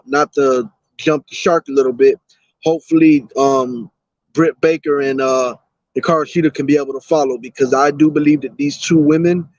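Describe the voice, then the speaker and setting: A man's voice: medium pitch, a little mellow, no room sound, highs cut off. A man appearing as a guest on a podcast, giving his picks earnestly and talking at length. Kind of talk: casual chat.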